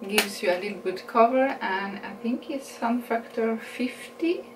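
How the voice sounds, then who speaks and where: A woman's voice: a little high, recorded from a distance. A woman in her forties from Norway, speaking English with a Norwegian accent, chatting casually through a makeup haul and correcting herself as she goes. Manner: unhurried, chatty, self-correcting, laughs at her own English. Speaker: beauty vlogger. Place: small room indoors. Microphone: camera mic at a distance. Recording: roomy sound with a steady background hiss.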